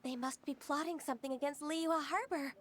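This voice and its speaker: A woman's voice: high-pitched, fairly even intonation, a little fast, heavy background noise, natural voice. A woman voicing a polite, earnest young government secretary in a video game, speaking in a formal, composed way. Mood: earnest, resolute. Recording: studio voice over game music.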